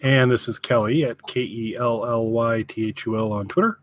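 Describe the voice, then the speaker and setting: A woman's voice: very deep voice, steady tone, moderate pace, no echo. Woman, podcast co-host, casual chat, dry room, slightly dull lo-fi audio.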